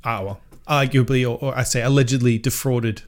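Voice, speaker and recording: A man's voice: medium pitch, a little fast. A man in his thirties from Western Sydney with a mild Australian accent, chatting loosely as a podcast co-host and often trailing off and restarting. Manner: relaxed, self-mocking, trails off and restarts. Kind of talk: casual chat.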